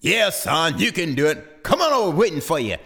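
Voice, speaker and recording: A man's voice: fairly high pitch, very animated, a little fast, fairly bright tone, in a large hall, exaggerated voice. A man doing a firm, coaxing father hawk.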